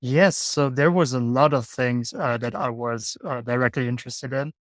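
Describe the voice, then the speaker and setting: A man's voice: mid-range voice, normal speed, dry recording, clean studio sound. A man hosting a casual interview podcast, asking questions with many ums and restarts. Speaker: podcast host.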